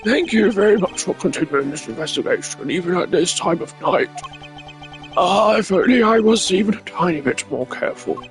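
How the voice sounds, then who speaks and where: A high-voiced older male ambassador from a video game, played by a man, speaks with courteous condescension. He is imperious under the politeness, with faint music behind him. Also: expressive, moderate pace. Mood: courteous, regretful.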